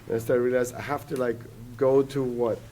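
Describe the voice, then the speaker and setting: Podcast guest, German-native man, 40s, mellow, halting and rambling. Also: medium pitch, steady tone, a little hiss or hum. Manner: rambling, many hesitant restarts and fillers. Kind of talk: casual podcast conversation.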